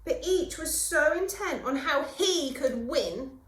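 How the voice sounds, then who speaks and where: Very animated woman reading a storybook. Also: high-pitched. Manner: theatrical, expressive, dramatic pauses. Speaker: primary school teacher reading a story. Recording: roomy, echoing room sound.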